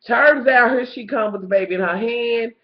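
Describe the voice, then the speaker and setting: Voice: medium pitch, normal speed, roomy sound. A TV show reviewer with a stuffed-up nose, recapping an episode in gossipy, profane storytelling and calling the viewer honey. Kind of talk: casual episode recap vlog. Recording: slightly muffled lo-fi recording.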